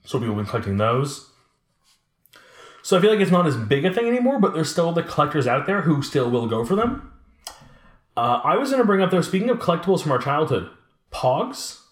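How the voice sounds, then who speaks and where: Man, late twenties, rapid-fire casual talker, animated storyteller, echoey room sound. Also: on the high side. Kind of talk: casual chat about collectibles. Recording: roomy, echoing room sound.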